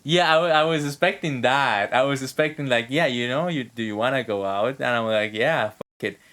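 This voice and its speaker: Female game streamer, very deep voice, laid-back casual commentary, slangy and sweary. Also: brisk, a bit bright.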